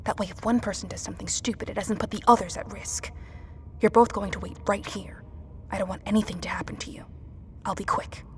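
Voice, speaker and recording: A woman's voice: medium pitch, fairly even intonation, brisk, in a whisper, lightly acted. A woman playing a tense, protective survivor who gives firm, clipped instructions in an audio drama. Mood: hushed, careful. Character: tense, commanding woman protecting her companions in disaster.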